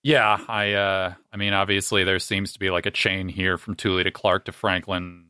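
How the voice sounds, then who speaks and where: A man's voice: sits low, little pitch movement, moderate pace, sharp tone, smooth. A man playing in a tabletop roleplaying game, talking casually with the group.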